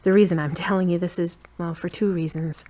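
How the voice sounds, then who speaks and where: Woman acting a frank, lower-voiced uneasy girlfriend. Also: steady tone, fairly quick, mellow, lightly acted. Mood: matter-of-fact, uneasy. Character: Charity, tired frank woman, fond but uneasy girlfriend. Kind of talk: audio drama dialogue.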